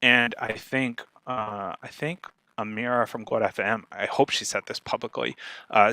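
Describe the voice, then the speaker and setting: A man speaking conversationally as a guest expert, animated and often checking in with "right?". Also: medium pitch, dry recording. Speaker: guest speaker, podcaster and marketer. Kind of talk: conversational talk on podcast marketing.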